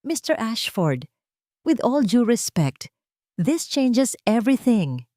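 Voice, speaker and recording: Woman acting a sharp, composed female lawyer, animated pitch. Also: dry recording, studio quality. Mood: alarmed, critical. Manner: deliberate, formal, mixes English legal terms into speech. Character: calm, sharp female lead lawyer, pressing and wary.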